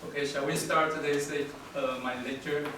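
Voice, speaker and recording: A man's voice: fairly high pitch, flat delivery, moderate pace. A man from Taiwan giving an academic lecture, hesitant and polite, with many fillers. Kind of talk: academic presentation. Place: lecture room. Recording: echoey room from far off, noisy.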